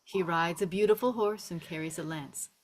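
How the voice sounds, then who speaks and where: A woman with a somewhat low voice narrates a screenplay. She reads scene descriptions and stage directions aloud as the narrator of a table read. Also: moderate pace, slight background noise. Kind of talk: scripted narration.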